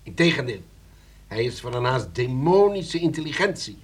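An actor in a Dutch radio play playing a shrewd, deliberate older relative who poses as a police commissioner, speaking with measured authority. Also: male voice, mid-range voice, big pitch swings, very noisy, close to an everyday voice. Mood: stern, assured.

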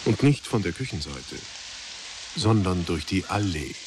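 A man giving sober, measured literary narration in a radio drama. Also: low voice, expressive, noisy background.

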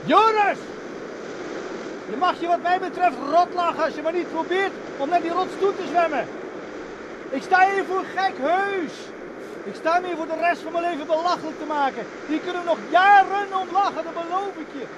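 A man's voice: extremely high pitch, moderate pace. An actor playing an exasperated, scornful older brother in a radio play, mocking and irritated as he speaks. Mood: exasperated pleading. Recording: noisy old radio play recording.

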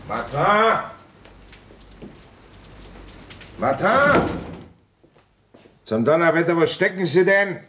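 An actor playing a gruff, resigned, middle-aged French police commissioner in a German radio play, with a sardonic, grumbling way of talking. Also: male voice, a little high, very animated, slow, sharp tone, slight background noise, full character voice. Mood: irritated. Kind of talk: German radio play acting and first-person narration.

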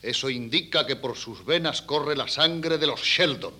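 A man's voice: medium pitch, animated, a little slow, pushed and bright, husky, exaggerated voice. An actor playing a proud, blustering middle-aged father, easily embarrassed, in theatrical 1970s radio-play style. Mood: proud, grandiose. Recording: hissy, humming old cassette recording.

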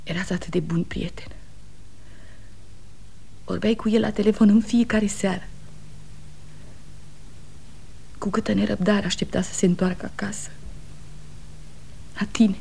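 A woman's voice: mid-range voice, steady tone, vocal fry, pauses often, mellow, husky. An actress playing a weary, fiercely loyal aging wife and mother, pleading and reproachful, in 1960s radio theatre style. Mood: quiet sorrow.

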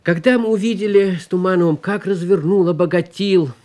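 A man recalling his work as a screenwriter on a radio programme, formal and measured, building long rhetorical lists. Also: high voice, a little mellow. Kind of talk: formal spoken recollection.

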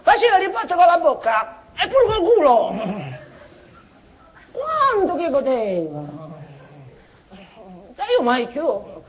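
An actress playing an unhinged, childlike former schoolteacher in a rambling tragicomic stage monologue, halting and repeating herself, swinging between outbursts and muttering. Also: female voice, extremely high pitch, wildly swooping pitch, heavily acted. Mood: excited rambling. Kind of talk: theatrical comic-tragic monologue, broken invented dialect. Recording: dull, noisy theatre recording.

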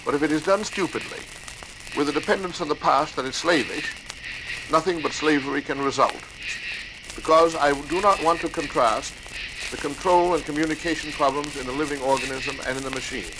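A man giving a deliberate, emphatic, lecture-like talk as a scientist explaining his research. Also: on the high side. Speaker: scientist speaking about his own research. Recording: noisy archival speech recording.

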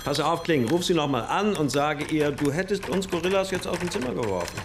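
An actor playing a sardonic, wisecracking police detective in a naturalistic German radio play. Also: male voice, on the high side, expressive, a little fast, background noise. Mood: mocking. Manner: teasing, sardonic. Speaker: actor in a radio crime drama.

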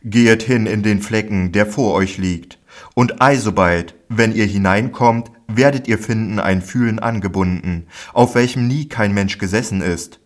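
A man voicing a calm, authoritative male teacher in a scripture reading, solemn and measured. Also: fairly low pitch, close to an everyday voice.

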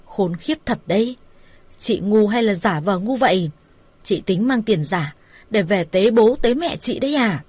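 A woman's voice: fairly low pitch, moderate pace, dark tone, slightly rough, no room sound. A woman voicing a greedy, abusive young man with a harsh manner in an audio story. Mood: furious contempt. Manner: harsh, contemptuous.

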